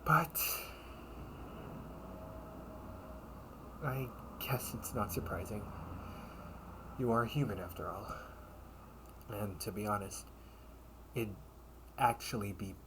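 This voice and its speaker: A man acts out a loyal young knight in an intimate audio roleplay. He talks very slowly in a fairly low voice, formal yet gently teasing, like a caring old friend. Some hiss sits behind his halting words. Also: poor audio. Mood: amused, reassuring. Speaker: amateur audio roleplay performer.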